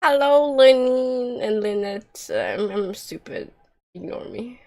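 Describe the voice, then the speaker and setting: A woman's voice: fairly high pitch, lively intonation, takes it slowly, a little mellow. A woman giving casual, joking and sarcastic livestream commentary as she plays a video game.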